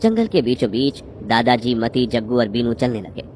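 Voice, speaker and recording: A man's voice: mid-range voice, big pitch swings, moderate pace, a little mellow, slight background noise. A man reading scripted narration for a cartoon horror story. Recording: music behind the voice.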